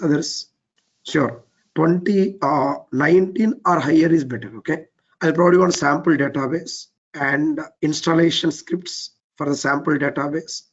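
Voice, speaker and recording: A man with an Indian English accent teaching a live technical class. His voice is weak and low, and he keeps repeating his cues to move on step by step. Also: breathy edge, muffled recording. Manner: repetitive, keeps saying "let's get started, step by step". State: voice a bit weak and low from overuse. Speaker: trainer.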